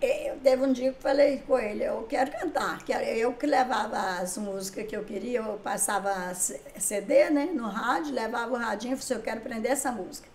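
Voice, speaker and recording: Older woman telling lively stories, high voice. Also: a little fast, few pauses, a little hiss or hum. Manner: lively storyteller who acts out past conversations. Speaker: writer and songwriter guest. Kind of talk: casual interview chat. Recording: echoey room.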